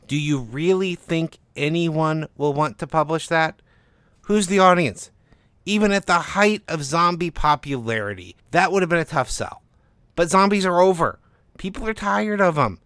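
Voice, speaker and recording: A man's voice: on the high side, lively intonation, normal speed, fairly bright tone, clean tone, no room sound, voice pushed up. Man playing an exasperated, blunt agent, dry studio sound. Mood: exasperated, dismissive. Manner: emphatic, skeptical, argumentative. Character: exasperated, blunt male literary agent, sarcastic and pragmatic. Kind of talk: dramatised short story reading.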